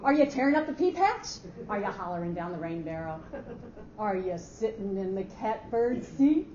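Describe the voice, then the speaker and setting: A woman playing a loud, brash, boisterous office adviser with bouncy, slangy teasing in a staged reading. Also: mid-range voice, sing-song, moderate pace, close to an everyday voice. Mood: boisterous, taunting. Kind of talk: staged dramatic reading of a short story. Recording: muffled, echoey hall with faint noise.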